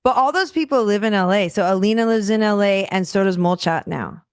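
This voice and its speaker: Female musician guest, smooth mid-pitched voice, easygoing chat, dry sound. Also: no room sound. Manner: quick, easygoing, laughs between remarks. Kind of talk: casual chat.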